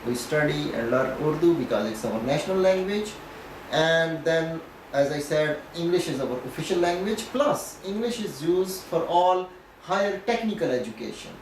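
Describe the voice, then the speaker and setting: A man's voice: a little high, animated, normal speed. A man from Pakistan with a Pakistani accent and Punjabi as his mother tongue, explaining things in an interview in a didactic way, often checking in with "okay". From Pakistan, Punjab region. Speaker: professor being interviewed. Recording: echoey, distant mic, faint noise.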